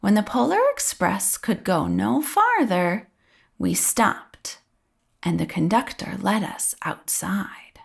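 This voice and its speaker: A woman reading a children's story aloud with expressive, drawn-out phrasing and a breathy edge at the ends of phrases. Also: mid-range voice. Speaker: storybook reader.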